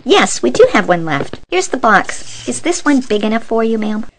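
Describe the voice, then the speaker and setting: A woman's voice: a little high, sing-song, moderate pace, background noise. A woman playing a jaded, sardonic bank clerk with a polite front in an amateur radio play. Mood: helpful.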